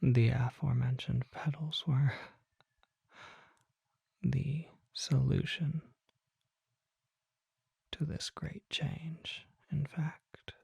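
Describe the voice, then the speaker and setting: ASMR performer playing a mysterious ancient vampire, breathy whisper, slow and deliberate, courtly, calm, knowing, close-miked. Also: mid-range voice, no room sound, heavily acted. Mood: hushed, reverent. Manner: slow, formal, deliberate, hushed. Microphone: close microphone.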